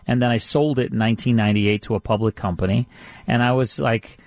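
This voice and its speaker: A middle-aged man with an average-pitched voice, recorded dry. He chats like a radio host reminiscing, talkative and enthusiastic, often repeating himself for emphasis. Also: fairly even intonation, fast talker, a little mellow, dry recording. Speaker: radio show host and producer. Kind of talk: casual radio chat, reminiscing.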